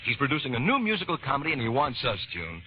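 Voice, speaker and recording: A man's voice: mid-range voice, huge pitch swings, rapid-fire. An actor playing an eager, persuasive vaudeville man wooing his partner, insistent and melodramatic, in an old radio drama. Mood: excited. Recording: dull, noisy old radio broadcast.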